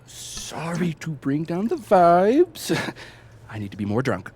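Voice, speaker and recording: Cheeky, slow-drawling young guy, animated delivery. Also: fairly high pitch, breathy edge, heavily acted. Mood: tipsy self-deprecation. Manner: teasing, drawling, playful. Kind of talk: naturalistic video game dialogue acting.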